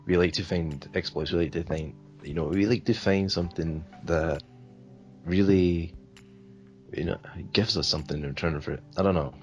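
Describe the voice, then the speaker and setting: A man's voice: sits low, normal speed, muffled recording, faint music behind. A man from Sweden answering interview questions in a hesitant, rambling way, full of fillers. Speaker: interview guest.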